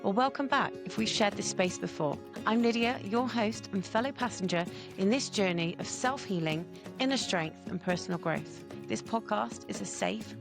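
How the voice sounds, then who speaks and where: A woman's voice: mid-range voice, vocal fry. A woman hosting a podcast, reading an intro and asking her guest questions in an earnest way. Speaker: podcast host.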